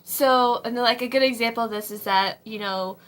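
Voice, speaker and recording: A young woman in her twenties, slightly hoarse, giving casual advice from her home kitchen in a rambling way, with many ums and likes. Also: on the high side, fairly quick, fairly bright tone. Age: 20s. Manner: rambling, full of ums and likes, frequent laughs. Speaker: vlogger. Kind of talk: casual faith-based advice vlog.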